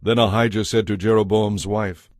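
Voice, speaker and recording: A man giving measured, formal scripted narration for a dramatized Bible. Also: lowish voice, level tone, a little mellow, dry recording, studio quality. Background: music.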